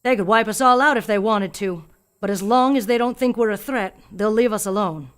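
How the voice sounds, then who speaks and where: An actress as an older country woman in a radio play, with a mid-pitched voice. She is fearful yet stubborn, telling what she knows in a grave, deliberate way. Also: natural voice. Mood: uneasy, resolute. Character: fearful, stubborn old rural woman guarding alien secrets.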